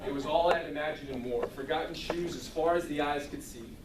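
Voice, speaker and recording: A man giving a humorous storytelling speech to an audience in a theatrical, deliberate comic manner. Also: fairly high pitch. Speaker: speech contest contestant. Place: room with an audience. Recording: roomy, distant mic, clearly noisy.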